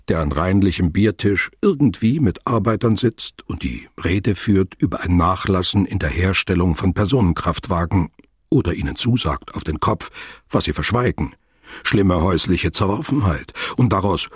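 A man acting as the sober, deliberate narrator of a literary radio play, speaking formally and in measured, wordy sentences. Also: low-pitched, husky, no room sound, studio quality, exaggerated voice.

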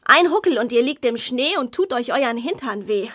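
A woman's voice: a little high, animated, moderate pace, fairly bright tone. An actress playing a plucky, clever, eager little girl in a children's fairy-tale audio drama, with a keen, girlish manner. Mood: riddling.

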